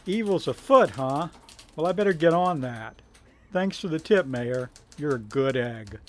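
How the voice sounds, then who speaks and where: A man's voice: fairly high pitch, lively intonation, a little slow. A man playing a brusque, wry Prohibition agent and boss, clipped and commanding, in a 1930s radio drama. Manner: clipped, commanding, hard-boiled.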